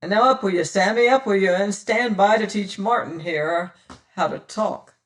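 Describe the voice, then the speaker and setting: A reader voicing a cold, mocking male pirate captain who taunts and commands in a loud, drawn-out way. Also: medium pitch, recorded from a distance, close to an everyday voice. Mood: menacing. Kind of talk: audiobook character voice.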